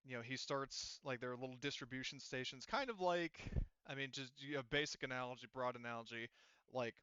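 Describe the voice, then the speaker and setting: Male game master, halting casual narration, crisp bright voice, dry slightly dull recording. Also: mid-range voice, dry recording. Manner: halting, casual, thinking aloud. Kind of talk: narrating and running a tabletop roleplaying game.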